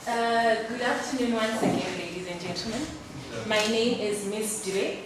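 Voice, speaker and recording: A woman serving as acting technical director, giving a courteous, measured, formal welcome to visitors. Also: fairly high pitch, crowd noise. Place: indoor hall at a computing center. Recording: echoey room, distant mic, background noise.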